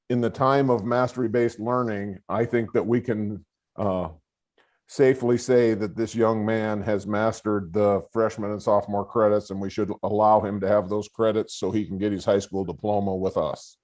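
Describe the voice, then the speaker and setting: An older man who has coached for decades, explaining a student's case to a board over a remote call in a loud, earnest voice full of fillers. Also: lowish voice. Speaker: school administrator and longtime coach.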